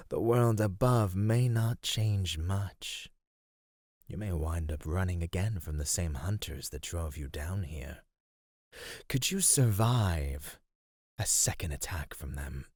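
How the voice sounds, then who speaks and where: A man playing a teasing, condescending serpentine monster predator in a theatrical ASMR roleplay, speaking in a deliberate, menacing way. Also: sits low, darkish tone, slightly rough, no echo, studio quality, full character voice. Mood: condescending, intrigued.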